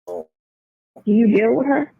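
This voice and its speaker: A woman's voice: on the high side, huge pitch swings, unhurried, creaky. A woman chatting casually on a recorded phone call, slangy, with short agreeing responses. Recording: tinny, dry recorded phone line.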